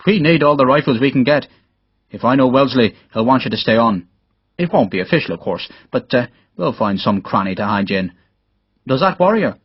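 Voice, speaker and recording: A man's voice: mid-range voice, lively intonation, fairly quick, breathy edge, no echo, dull audio. A man voicing a hearty, blunt middle-aged Irish engineer captain in an audiobook. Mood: brisk, curious. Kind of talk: audiobook character dialogue.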